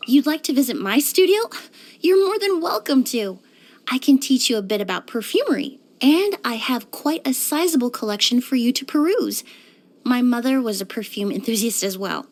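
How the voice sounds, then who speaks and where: A woman playing a composed, courteous young woman perfumer in a video game, speaking in a polite, measured, softly formal way. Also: on the high side, very animated. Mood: courteous, inquisitive. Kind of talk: scripted video game character lines.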